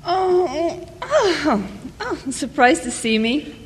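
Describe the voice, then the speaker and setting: A woman's voice: sits high, big pitch swings, very slow, slight background noise, exaggerated voice. A woman playing a candid, wry middle-aged mother and estranged wife in audio drama dialogue. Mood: delighted. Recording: long hall reverb.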